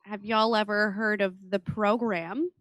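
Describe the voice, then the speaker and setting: A woman's voice: mid-range voice, steady tone, unhurried, fairly bright tone, dry recording. A woman playing a tabletop role-playing game, asking questions in a casual, conversational way.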